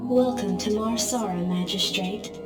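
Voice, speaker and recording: A woman plays a female computer adjutant from a military video game. She is formal, measured and impersonal. Her voice echoes and sits a little away from the mic, over loud music. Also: medium pitch, recorded from a distance, close to an everyday voice. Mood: composed, formal. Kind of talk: scripted game briefing.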